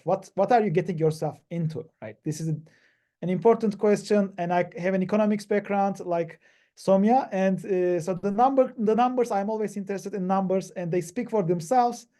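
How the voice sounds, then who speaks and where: A man from Turkey giving a webinar presentation on a video call, talking haltingly with many fillers and restarts. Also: sits high, mellow. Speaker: PhD panelist.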